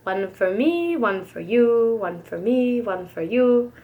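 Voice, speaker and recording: A woman's voice: fairly high pitch, lively intonation, takes it slowly, phone-quality sound. A woman voicing a kind old Russian grandmother from a storybook, affectionate and exclamatory, with a few Russian words. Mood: fond, wistful.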